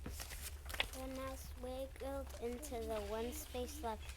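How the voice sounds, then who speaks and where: A woman's voice: high-pitched, steady tone, takes it slowly. A woman giving scripted storybook narration in a readers theater, recorded with some room noise.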